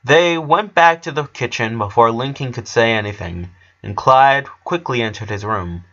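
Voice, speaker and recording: A man reading a story aloud as narrator, in an expressive storytelling style. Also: medium pitch, moderate pace, muffled recording. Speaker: narrator of a fan fiction story.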